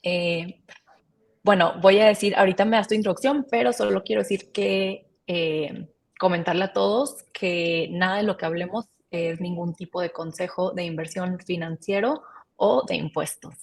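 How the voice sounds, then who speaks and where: Woman host on a video call, fairly low voice, polite and steady, guides the interview. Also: normal speed, creaky. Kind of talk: live webinar interview.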